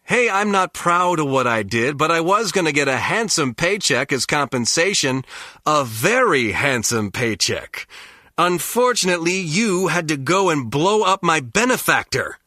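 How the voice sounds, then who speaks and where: A man's voice: fairly high pitch, very animated, a little slow, pushed and bright, dry recording, clean studio sound, exaggerated voice. A man voicing a cynical, greedy American turncoat spy in a video game, mocking and slangy. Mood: cynical, self-justifying. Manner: sardonic, slangy.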